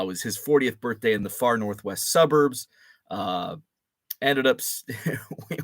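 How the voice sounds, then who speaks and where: A man around forty hosting a casual live show, a bit groggy and deeper-voiced after a late night, rambling with lots of fillers and restarts. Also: a bit bright, no echo, studio quality. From Illinois. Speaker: host.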